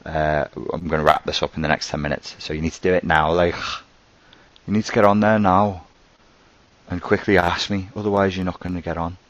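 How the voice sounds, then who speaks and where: A man with a very low voice and a softened Scouse accent hosts a live question-and-answer stream. He talks in a loose, conversational way, thinking aloud as he goes. His voice sits close and dry, with no room around it. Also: fairly even intonation, brisk, dry recording, dull audio. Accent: toned-down Scouse. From Merseyside, England. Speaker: host answering viewer questions.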